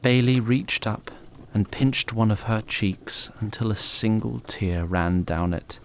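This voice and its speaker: Low-voiced male fantasy narrator. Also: slow, slight background noise. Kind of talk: scripted fantasy story narration.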